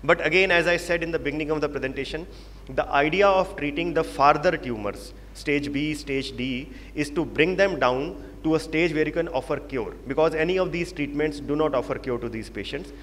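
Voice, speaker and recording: A man's voice: a little high, brisk, a bit bright. A man with an Indian English accent presenting and moderating a medical panel, explaining with emphatic repetition. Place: large conference hall. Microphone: handheld microphone. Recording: reverberant hall, faint background noise.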